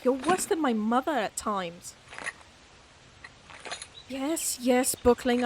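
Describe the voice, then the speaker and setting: A woman's voice: sits high, slight background noise. A woman playing a proud, teasing young lady knight in a fantasy audio roleplay, with formal, old-fashioned, dryly haughty phrasing. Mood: irritable, teasing. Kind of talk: fantasy audio roleplay acting addressed to the listener.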